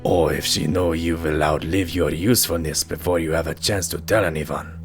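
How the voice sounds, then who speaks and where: A man playing a sly, coolly menacing griffin henchman in a cartoon audio drama, with a smooth, insinuating manner. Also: rumbling low, steady tone, moderate pace. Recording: music playing behind.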